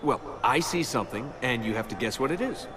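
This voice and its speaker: A man's voice: mid-range voice, animated, a little fast, background noise, close to an everyday voice. A man playing a cocky young adventurer and thief in a video game, sarcastic and teasing in conversational banter. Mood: playful. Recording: loud music behind the voice.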